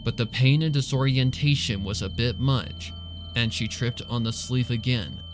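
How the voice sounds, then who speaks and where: Guy reading a spooky story, dramatic narration, medium pitch, music behind him. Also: normal speed. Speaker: fan fiction narrator.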